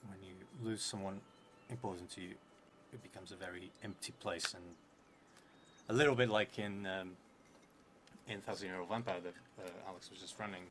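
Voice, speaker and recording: Man in his thirties, lowish voice, solo tabletop game narration, musing to himself, noisy stream audio. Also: steady tone, normal speed, pauses often, a bit bright. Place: indoor streaming setup in a theatre venue.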